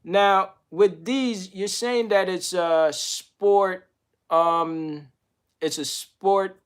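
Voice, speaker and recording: A man hosting a casual radio interview, asking questions in a halting way with many restarts and fillers. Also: high-pitched, clear, resonant tone. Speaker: radio show host.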